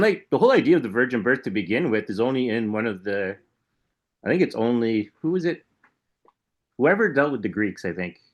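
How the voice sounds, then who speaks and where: A man co-hosting a podcast in casual chat, skeptical and loose, chuckling as he rambles. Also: fairly low pitch. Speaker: podcast co-host.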